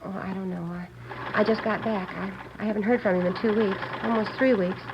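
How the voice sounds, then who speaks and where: A woman's voice: medium pitch, fairly even intonation, brisk, close to an everyday voice. An actress playing an anxious, protective seamstress in her thirties in a 1950s radio drama, with an American accent and a hesitant, pleading manner. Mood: worried, evasive. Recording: muffled, noisy vintage radio recording.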